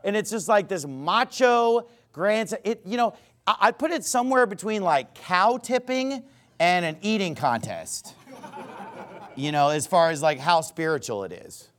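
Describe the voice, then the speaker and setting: A pastor delivering an emphatic, repetitive sermon, mocking at times. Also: male voice, high-pitched, expressive, measured pace, fairly bright tone.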